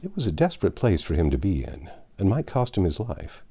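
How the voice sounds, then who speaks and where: A man narrating a classic novel aloud as an expressive literary reading. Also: deep voice, fairly quick, slightly rough. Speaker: audiobook narrator.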